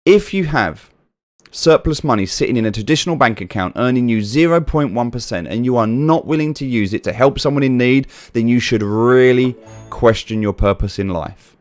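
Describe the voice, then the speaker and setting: A man's voice: medium pitch, no echo. A British man hosting a livestream, answering chat by name and making earnest, repetitive points about helping others. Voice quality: soothing voice. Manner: earnest, preachy, repeats points for emphasis; reads viewer names from chat and answers them. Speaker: livestream host.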